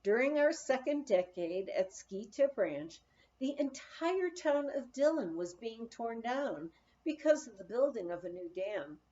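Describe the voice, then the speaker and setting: A woman reading a memoir aloud as an audiobook, with an expressive, deliberate storytelling delivery. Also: a little high, highs cut off. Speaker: audiobook reader. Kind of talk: scripted memoir narration, read aloud.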